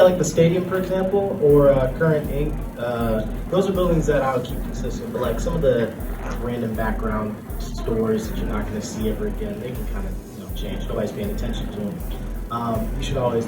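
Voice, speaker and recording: A man talking informally as an artist on a convention panel, explaining things in a casual, conversational way. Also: mid-range voice. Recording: roomy, distant mic, loud music behind.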